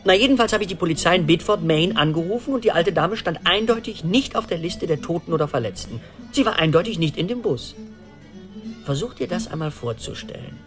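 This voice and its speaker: A man's voice: high voice, a little fast. Anxious, rambling middle-aged man over music. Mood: agitated, incredulous. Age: 40s. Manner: animated storytelling, urgent, rambling. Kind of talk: radio play acting, conversational monologue.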